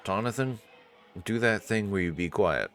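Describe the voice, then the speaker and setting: A man's voice: fairly low pitch, expressive, moderate pace, fairly bright tone. A man reading a visual novel aloud and commenting casually, with music behind his voice. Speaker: game player reading and reacting. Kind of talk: dramatic narration and casual commentary.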